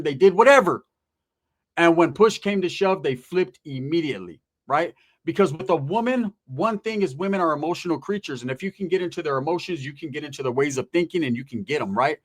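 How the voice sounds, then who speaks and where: A man with a slightly high voice gives informal online commentary. He speaks straight to his viewers in slangy street talk, pressing points with rhetorical questions and tag questions, and swears casually. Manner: emphatic, punctuated with rhetorical questions and "right?".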